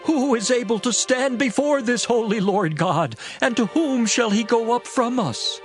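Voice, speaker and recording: A man's voice: very high-pitched, lively intonation, measured pace. A man voicing distressed, fearful townsfolk in a dramatized scripture reading, solemn and pleading. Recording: music behind the voice. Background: background music.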